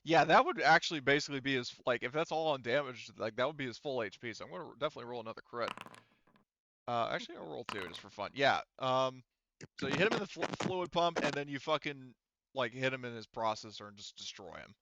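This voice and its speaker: A man's voice: mid-range voice, animated, fast talker, fairly bright tone, slight background noise. A man running a tabletop game as game master, narrating casually and informally with some swearing, on slightly dull audio.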